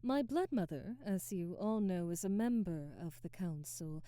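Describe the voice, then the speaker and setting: A woman's voice: lowish voice, lively intonation, normal speed, warm tone, dry recording, studio quality, natural voice. A woman playing a haughty, aristocratic vampire hostess in an ASMR audio drama, speaking in a formal, theatrical and commanding way. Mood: formal, poised.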